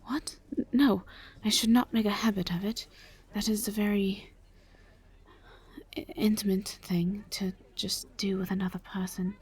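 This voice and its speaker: Woman playing a breathy, hushed, formal vampire lady. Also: mid-range voice, fairly even intonation. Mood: flustered, shy. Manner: halting, careful, formal diction without contractions. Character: gentle, reserved female vampire travelling with a knight. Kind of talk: roleplay audio drama addressed to the listener.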